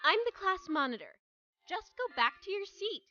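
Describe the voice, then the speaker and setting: A woman's voice: extremely high pitch, sing-song, moderate pace, sharp tone, no room sound, highs cut off, exaggerated voice. A woman voicing a stern, serious teenage class monitor in an anime-style dub, blunt and commanding. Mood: annoyed. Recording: background music under voice.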